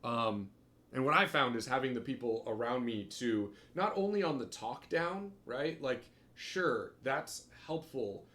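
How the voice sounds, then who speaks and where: A man's voice: mid-range voice, expressive, measured pace, a bit bright. A man in casual stream conversation, rambling through his thoughts with lots of filler words and plenty of swearing. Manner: rambling, profane, heavy on fillers like "like" and "right". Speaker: podcast host. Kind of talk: casual hobby stream chat.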